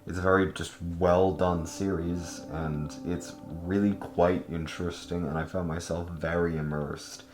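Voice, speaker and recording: A man's voice: low-pitched, flat delivery, measured pace, hardly any pauses, roomy sound. A man giving casual, opinionated video essay commentary in an informal, emphatic way that leans on intensifiers and casual swearing. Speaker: host of a video guide.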